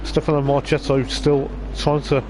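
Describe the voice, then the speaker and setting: A man with a voice going hoarse, giving rambling live race commentary on a stream, with lots of hesitant fillers. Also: mid-range voice, level tone, moderate pace, noisy background. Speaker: sim racing league commentator.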